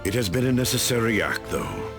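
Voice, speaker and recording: A proud, earnest space marine warrior declaims in a deep, gravelly voice. The speech is formal game drama, with loud music behind it. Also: male voice, wildly swooping pitch, a little fast, heavily acted. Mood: proud, solemn. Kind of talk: dramatic game cutscene acting.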